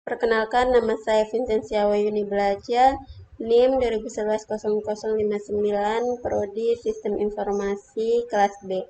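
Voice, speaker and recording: A woman's voice: medium pitch, flat delivery, moderate pace, warm tone, a little hiss or hum, rough recording. A young woman reading a student slide presentation carefully, with small stumbles. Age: young adult.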